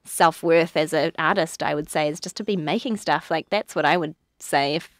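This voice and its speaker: A woman's voice: low-pitched, normal speed, no echo, studio quality. A woman, a guest actor chatting casually on a podcast, laughing often and filling her talk with "like". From New Zealand. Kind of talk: casual chat.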